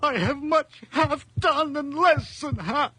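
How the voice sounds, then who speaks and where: A frightened old man in a radio play, crying out in a very high, shrill, piercing voice. He drags out his quavering pleas slowly, close and dry to the mic. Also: sharp tone, dry recording, heavily acted. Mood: pleading, fearful. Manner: frantic, wailing, quavering. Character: terrified old man pleading with death. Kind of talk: radio drama acting.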